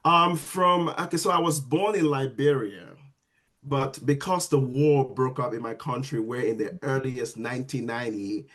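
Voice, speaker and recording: A man's voice: medium pitch, normal speed. Man telling stories. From Sierra Leone. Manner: animated storyteller, frequent restarts. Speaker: guest, registered nurse. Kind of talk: casual storytelling interview.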